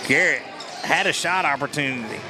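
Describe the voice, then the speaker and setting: A man, announcing a high school basketball game play-by-play and bantering with his partner in a school gym. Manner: quick, excitable calls with friendly banter. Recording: loud gym noise behind. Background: gym crowd and bouncing basketball.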